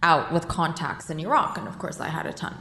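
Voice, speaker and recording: A woman being interviewed on a podcast, telling her career story in an animated, self-mocking way. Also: low-pitched, brisk. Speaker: guest, war correspondent. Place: charity office, roomy. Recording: echoey room with faint noise.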